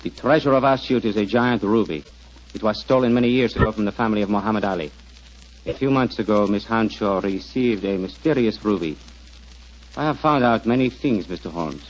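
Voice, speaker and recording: An actor playing a courteous, devoted man with a formal, measured manner in a 1940s radio drama. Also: lowish voice, natural voice. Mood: solemn. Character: solemn, courteous, devoted Egyptian man on a quest. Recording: muffled, lo-fi 1940s radio recording.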